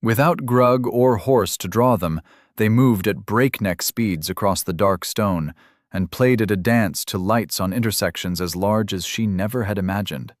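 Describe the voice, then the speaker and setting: A man reading a fantasy audiobook in a measured, unhurried manner. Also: on the low side, no room sound, clean studio sound. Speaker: audiobook narrator. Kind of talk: scripted fantasy narration.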